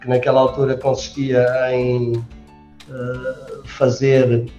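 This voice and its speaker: A man's voice: medium pitch, monotone, slow, warm tone, faint music behind. A man of about sixty with a European Portuguese accent, answering interview questions hesitantly, with many fillers and restarts. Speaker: resort general manager, guest. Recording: roomy, dull sound.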